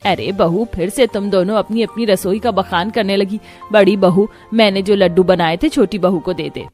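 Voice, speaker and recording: A woman's voice: medium pitch, steady tone, normal speed, a little mellow, close to an everyday voice. A woman playing a firm, bossy mother-in-law, the household matriarch, in a cartoon family story. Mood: chiding. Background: background music.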